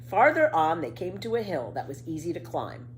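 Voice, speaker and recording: Casting: female children's storyteller, slightly low voice, very lively intonation, careful expressive reading, some background noise. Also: moderate pace.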